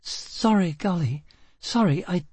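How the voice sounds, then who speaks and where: A man voicing a panicky, volatile young woman in a storybook reading, with a shrill, high-strung delivery. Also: lively intonation, slow pace, a little mellow, husky, no room sound, heavily acted. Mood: anxious.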